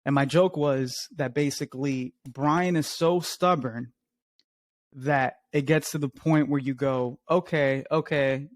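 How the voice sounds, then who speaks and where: A man's voice: fairly high pitch, no room sound, clean studio sound. A young man co-hosting a podcast in casual chat, laughing often and swearing casually. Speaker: podcast co-host.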